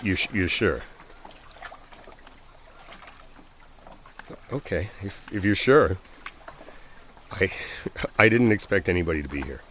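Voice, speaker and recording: A man's voice: sits low, expressive, normal speed, fairly bright tone. A man playing a friendly, bashful stranger in a boyfriend roleplay audio, talking casually and a little hesitantly. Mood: flustered, apologetic. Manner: hesitant, apologetic, chuckling, conversational. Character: friendly, bashful male stranger at a lakeside trailer park. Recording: outdoor water ambience noise.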